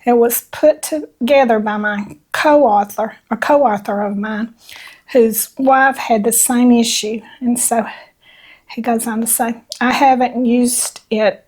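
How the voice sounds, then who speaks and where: An older woman co-hosting a channel, her voice a little hoarse from allergies, reading viewer notes aloud in a folksy chat. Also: fairly high pitch, steady tone, takes it slowly, darkish tone, low-quality recording. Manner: folksy, reads notes aloud with small laughs. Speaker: channel co-host.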